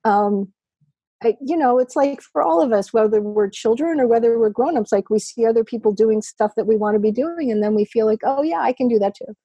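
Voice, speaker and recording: A woman's voice: on the high side, fairly quick, mellow, husky, dry recording, muffled recording. Chatty New woman in her sixties. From New York. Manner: chatty, rambling, laughs often. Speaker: podcast guest, poker writer. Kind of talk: casual remote interview chat.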